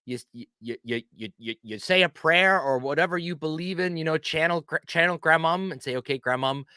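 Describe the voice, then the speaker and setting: A man from Pennsylvania hosting a casual chat, playfully asking questions in an animated way. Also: a little high, fairly quick, clean tone, dry recording, studio quality. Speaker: channel host.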